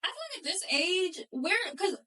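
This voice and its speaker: A woman's voice: very high voice, expressive, normal speed, bright, forceful tone, far from the mic. A woman in her twenties co-hosting a podcast, asking thoughtful questions in a casual, agreeable chat. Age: 20s. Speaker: podcast co-host.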